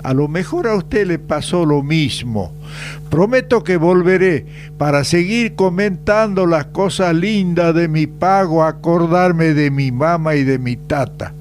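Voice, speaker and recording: A man's voice: on the high side, expressive, a little slow. A man with an Argentine accent delivering a folksy, sentimental, nostalgic monologue on the radio. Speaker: radio segment storyteller. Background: music.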